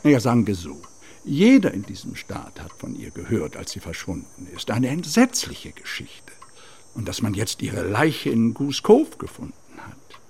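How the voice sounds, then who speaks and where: Husky, guarded wealthy gentleman. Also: lowish voice, huge pitch swings, full character voice. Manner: formal, measured, deliberate. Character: wealthy, guarded gentleman of an old family estate. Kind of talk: German radio crime drama acting.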